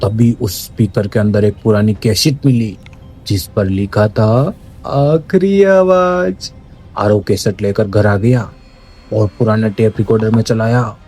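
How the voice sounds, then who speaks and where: A man's voice: lowish voice, unhurried, a little mellow, breathy edge. A man giving dramatic, scripted horror narration in an ominous, suspenseful manner, with music behind.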